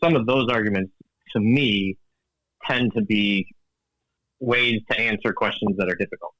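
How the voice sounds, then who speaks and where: A man's voice: lowish voice, normal speed, a bit bright, no room sound, rough recording. A man talking as a guest author in a casual interview, thoughtful and hesitant, with many fillers and restarts and frequent laughs. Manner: calls himself an engineer, evidence-minded.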